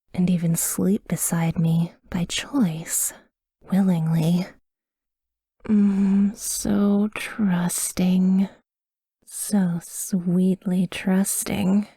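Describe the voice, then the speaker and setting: A woman playing a tender, tormented vampire lover in a slow, breathy, whispered ASMR roleplay, hushed and intimate. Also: lowish voice, no echo, studio quality. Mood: possessive, tender. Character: tender, tormented female vampire lover watching over her sleeping partner.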